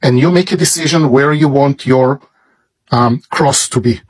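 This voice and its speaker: A man with a Hebrew accent, a native Hebrew speaker, slowly and reverently showing off a bible as a product. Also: mid-range voice. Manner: slow, deliberate, reverent. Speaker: seller presenting a bible. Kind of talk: product demonstration.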